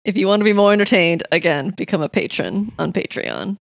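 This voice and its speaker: Wry, blunt woman with animated delivery. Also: medium pitch, fairly quick, gravelly, no room sound. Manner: wry, teasing, blunt swearing. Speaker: podcast co-host. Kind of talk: casual comedic podcast banter.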